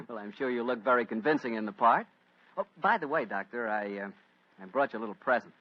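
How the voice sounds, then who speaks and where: Man as a lively, husky American radio announcer. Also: medium pitch, a little fast, natural voice. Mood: friendly, eager. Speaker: announcer and host of a radio drama. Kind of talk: old-time radio host patter. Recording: dull, dry vintage radio recording.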